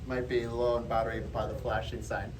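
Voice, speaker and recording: A man's voice: medium pitch, level tone, a little fast. A man giving an informal public lecture, explaining things in a conversational way to a live audience. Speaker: presenter giving a geology talk. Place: indoor room before a live audience. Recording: roomy, distant mic, faint background noise.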